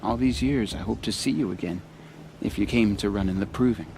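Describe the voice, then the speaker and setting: A man playing a gentle, grateful young tailor in a video game, eager and polite with soft humor. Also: on the low side, brisk, slight background noise, natural voice, poor audio. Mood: grateful, shy.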